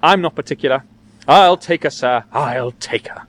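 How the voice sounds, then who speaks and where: A man's voice: medium pitch, moderate pace, fairly bright tone, close to an everyday voice. A man voicing a cool, wry young man in a story, offhand and mock-mournful.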